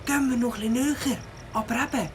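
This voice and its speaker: A woman's voice: fairly high pitch, fast, very noisy, natural voice. A woman playing a brave, reassuring young leader of a group of friends in a children's audio drama, with a Swiss accent. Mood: hushed, urgent.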